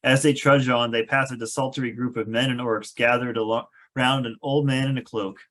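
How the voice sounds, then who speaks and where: A man's voice: medium pitch, steady tone, brisk, fairly bright tone. A man narrating a table read of a screenplay, reading stage directions with wry, amused asides.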